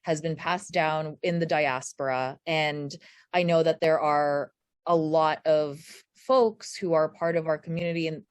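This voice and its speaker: A woman's voice: deep voice, fairly even intonation, unhurried, no echo. A woman from the Philippines speaking on a video-call panel, candid and searching for words. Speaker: workshop panelist. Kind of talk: conversational panel talk.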